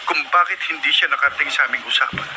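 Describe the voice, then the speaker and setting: A man's voice: on the high side, quick pace, noisy background, lightly acted. A man playing an anxious, earnest young deliveryman in love in a radio drama. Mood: worried. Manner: worried, earnest.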